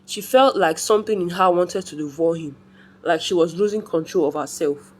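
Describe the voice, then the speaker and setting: A woman's voice: lowish voice, normal speed, husky. A woman reading a scripted folktale as a storyteller.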